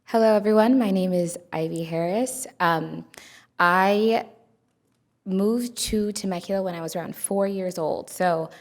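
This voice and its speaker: A young adult woman introducing herself sincerely as a commission member at a city council meeting, pausing often. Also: medium pitch. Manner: sincere, many filler pauses. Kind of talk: public meeting remarks.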